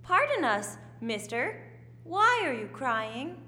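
A woman's voice: sits high, big pitch swings, takes it slowly, pushed and bright, full character voice. A woman playing a blunt, cheeky young scout acting stagily in an audio drama pageant. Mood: stagey recital. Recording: echoey hall, distant.

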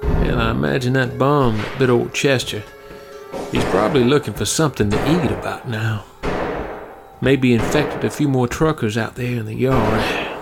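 An actor playing a folksy trucker who talks in a hesitant drawl full of fillers. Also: male voice, medium pitch, moderate pace, vocal fry, over loud music, natural voice. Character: folksy, rattled middle-aged trucker. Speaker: truck driver.

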